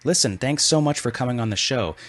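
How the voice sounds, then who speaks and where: A man's voice: mid-range voice, animated, brisk, fairly bright tone. A man hosting a scripted comedy podcast with a wry, mock-serious delivery. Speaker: podcast host.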